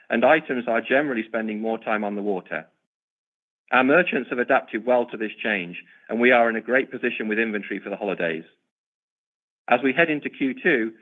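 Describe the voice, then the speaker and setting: A man speaking as a company executive, giving formal, measured prepared business remarks. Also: medium pitch. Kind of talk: prepared corporate earnings remarks. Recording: narrow, tinny conference-call line.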